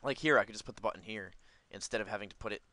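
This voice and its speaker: A man with a fairly low, bright voice talks through a video game in a casual, unscripted way. He rambles and thinks aloud as he goes. The sound is dry and close. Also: racing, no echo. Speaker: gaming video host. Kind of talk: casual, unscripted gameplay commentary.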